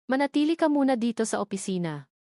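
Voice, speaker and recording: A stern, weary CEO father, played by a woman narrator, in an audio love story. He speaks in a serious, measured manner, with a dry recorded sound. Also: fairly high pitch, animated, dark tone, no room sound, natural voice. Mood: grave, measured.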